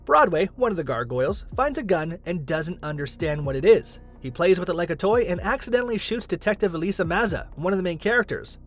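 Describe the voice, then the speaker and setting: A man's voice: sits high, animated, brisk, few pauses. A man reading scripted countdown narration as the host of a list video. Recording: music under the voice. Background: background music.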